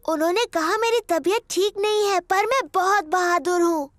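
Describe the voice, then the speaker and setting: A voice actor playing a bossy, chatty little girl pig in a children's cartoon, with a childlike, drawn-out delivery. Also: extremely high pitch, fairly bright tone, dry recording, clean studio sound. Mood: plaintive, proud.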